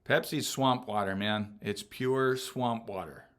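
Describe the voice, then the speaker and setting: Guy hosting a podcast, bright forceful voice, kinda low, jokey, lots of stop and start. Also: slow pace, clean tone. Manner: joking, teasing, laughs often. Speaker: podcast host. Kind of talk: casual chat.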